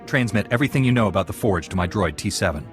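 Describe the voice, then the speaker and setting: Low-voiced young heroic apprentice played by a man. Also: lively intonation, quick pace, soft background music, lightly acted. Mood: businesslike. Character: young male Jedi apprentice, steady, stern, principled hero. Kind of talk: video game cutscene acting.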